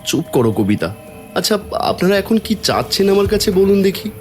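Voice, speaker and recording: A man's voice: sits high, very animated, fairly quick, a little mellow, soft background music. A man voicing an honest, beleaguered poor farmer in a cartoon, resigned in tone. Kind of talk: Bengali cartoon voice acting.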